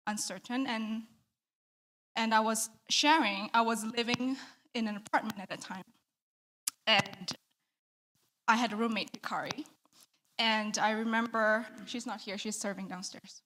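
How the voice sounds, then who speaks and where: Soft-spoken woman in her thirties. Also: fairly high pitch. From Malaysia. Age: 30s. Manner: soft-spoken, candid, laughs between thoughts. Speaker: church member giving testimony. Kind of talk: interview testimony.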